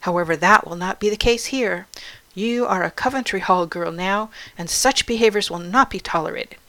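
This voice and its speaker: A woman's voice: medium pitch, moderate pace, sharp tone, poor audio. A stern, menacing matron from a storybook, acted out by a woman narrator. She scolds in clipped, disgusted phrases and gives orders that expect instant obedience. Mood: disgusted, scolding. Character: stern, menacing older woman running a school.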